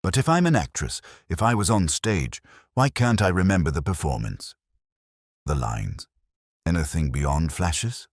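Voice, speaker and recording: A man's voice: low voice, sing-song, a little slow, dry recording, studio quality, full character voice. A man voicing a frightened, bewildered young actress in an audiobook, hesitant and questioning. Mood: confused.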